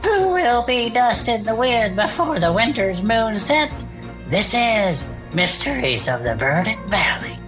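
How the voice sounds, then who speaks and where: A woman playing a folksy, sentimental gnome who hosts a comedic podcast about cryptids, talking in an effusive, drawn-out way. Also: a little high, very animated, music in the background. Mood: spooky, dramatic. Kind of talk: improvised comedic fiction podcast.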